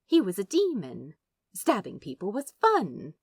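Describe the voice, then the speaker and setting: A woman's voice: mid-range voice, huge pitch swings, unhurried, husky, no room sound, studio quality. A woman reading fiction aloud as a narrator, in a dramatic, emotive storytelling style. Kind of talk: expressive scripted audiobook narration.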